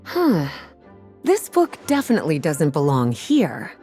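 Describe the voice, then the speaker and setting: Game librarian witch, languid, teasing, mellow tone, lilting delivery. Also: low voice, huge pitch swings, husky, faint music behind, heavily acted. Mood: explaining. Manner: languid, unhurried, gently teasing. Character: sultry knowing witch librarian, calm and teasing. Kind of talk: video game voice acting.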